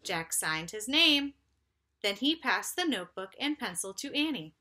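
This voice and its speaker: A woman reading a children's story aloud like a teacher, with deliberate, emphatic phrasing for young listeners. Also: mid-range voice, sing-song, sharp tone.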